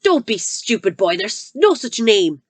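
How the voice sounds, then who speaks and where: Woman reading as a giant bully of a headmistress, barking and sneering, loud and harsh, lively swings in her voice, dry close sound. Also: a little fast, no room sound, natural voice. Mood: roaring. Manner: barking, booming and contemptuous. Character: huge bullying middle-aged headmistress, cruel and scornful.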